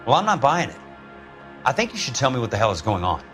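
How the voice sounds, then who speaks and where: An actor playing a tough, skeptical American insurance investigator in a noir video game, brusque, dry and sardonic. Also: male voice, medium pitch, wildly swooping pitch, fast, a bit bright, soft background music. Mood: skeptical, pressing. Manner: blunt, dry, probing.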